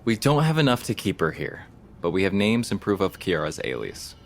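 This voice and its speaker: A man's voice: low voice, very animated, normal speed, a little hiss or hum. An actor playing an earnest, thoughtful police detective who stands his ground in an audio drama. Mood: earnest, insistent. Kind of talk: audio drama acting, conversational.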